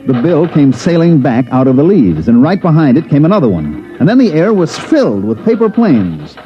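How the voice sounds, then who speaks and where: A man's voice: on the high side, sing-song, moderate pace. An American actor playing a dry, wisecracking special agent who narrates his case in a 1950s radio science fiction drama. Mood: marvelling. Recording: dull vintage radio recording, music behind.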